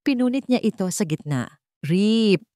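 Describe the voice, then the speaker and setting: A woman's voice: lowish voice, lively intonation, normal speed, darkish tone, no echo, clean studio sound. A woman narrating a scripted audiobook drama with dramatic delivery.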